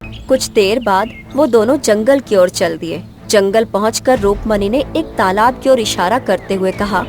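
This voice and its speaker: A woman narrates a fairy tale in a medium-pitched voice. Her delivery is scripted and dramatic storytelling, with clear background music. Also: unhurried, a little mellow.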